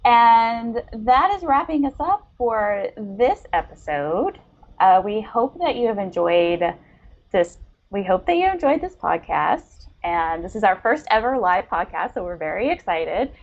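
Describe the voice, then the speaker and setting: A woman's voice: medium pitch, animated, measured pace, muffled recording. A woman co-hosting a podcast in an effusive, appreciative, casual chatting style. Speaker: podcast co-host interviewing a writer. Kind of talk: casual chat.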